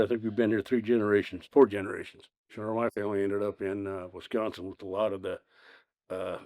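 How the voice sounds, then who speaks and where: A man in his sixties, a city maintenance worker, talking in a plain-spoken, unhurried way with many fillers. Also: on the low side, mellow, no echo. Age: 60s. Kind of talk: casual interview.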